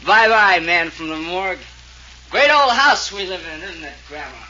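A man's voice: high-pitched, animated, normal speed, sharp tone, exaggerated voice. An actor playing a drunken, mocking young man in an old radio drama, slurred and sing-song. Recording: dull old radio recording with faint hiss.

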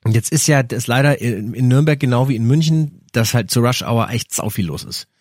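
Ein Mann mit bairischem Akzent, Co-Moderator eines Podcasts, der verspielt und witzelnd eine Geschichte erzählt. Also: male voice, medium pitch, expressive, fairly quick, darkish tone, no room sound, studio quality. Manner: playful storyteller, jokey asides. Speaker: podcast co-host. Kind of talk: casual chat, telling a story.

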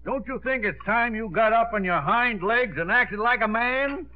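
A man's voice: extremely high pitch, normal speed, soft background music. A man acting a blustery, bewildered old father-in-law in a 1950s radio comedy. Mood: scolding, surprised. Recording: tinny 1950s radio broadcast.

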